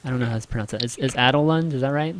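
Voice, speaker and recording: A man hosting a casual podcast, relaxed and affirming. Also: mid-range voice, very fast. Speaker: podcast host.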